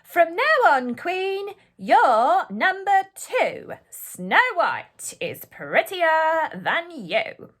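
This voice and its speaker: A woman voicing a magic talking mirror, speaking slowly and grandly in a rhyming storybook reading. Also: very high voice, big pitch swings, a bit bright, voice pushed up, full character voice. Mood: blunt. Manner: slow, grand, drawn-out.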